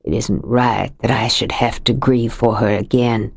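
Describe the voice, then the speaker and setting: Woman reading as a stubborn widower full of grief, lowered voice, slow heavy delivery. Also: fairly even intonation, gravelly, breathy edge, full character voice. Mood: grieving. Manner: low, heavy and bitter. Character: grieving stubborn middle-aged widower, rough-edged. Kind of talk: audiobook character reading.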